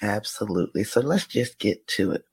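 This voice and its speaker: Woman podcast host, low raspy husky voice, chatty, dry close mic. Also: animated, moderate pace, darkish tone, no room sound. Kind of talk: casual interview chat.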